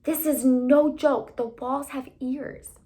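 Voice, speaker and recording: A woman's voice: high-pitched, fairly even intonation, takes it slowly, mellow, pitched above the performer's own voice. A woman voicing an anxious, sardonic teenage boy in a storybook, conspiratorial and dry. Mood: urgent.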